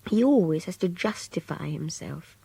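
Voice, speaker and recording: Breathy half-whispered voice, gravelly edge, young woman held captive, intense, brooding, frightened and contemptuous, radio drama monologue. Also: lowish voice, animated, moderate pace, no echo, full character voice. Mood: scornful, brooding. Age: young adult. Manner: hushed, brooding, confiding. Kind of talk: radio drama monologue, intimate diary narration.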